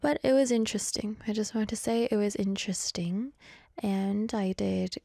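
A woman's voice: medium pitch, moderate pace, gravelly, dark tone, no echo, clean studio sound. A young woman from Canada, a native Korean speaker, hosting a casual radio show and rambling through chatty stories. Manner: rambling, chatty, self-deprecating. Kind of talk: casual chat. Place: indoors in South Korea.

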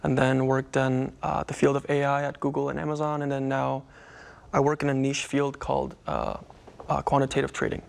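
A young man answers questions in a studio. His voice is gravelly, and he pauses often and speaks modestly. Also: mid-range voice, monotone. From United States. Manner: hesitant, modest, many fillers. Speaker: guest.

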